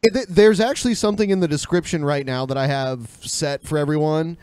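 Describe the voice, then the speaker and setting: A man's voice: fairly high pitch, fairly quick, darkish tone, clean tone, no room sound. A man co-hosting a podcast, chatting casually in an informal, rambling way. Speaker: podcast co-host.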